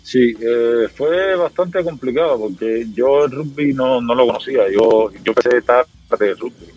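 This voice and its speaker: A Cuban man in his thirties, a podcast guest, telling his story in a relaxed, rambling way with many fillers. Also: mid-range voice, measured pace, lo-fi sound, soft background music. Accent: Cuban Spanish. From Cuba. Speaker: podcast guest, former athlete. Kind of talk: casual interview conversation.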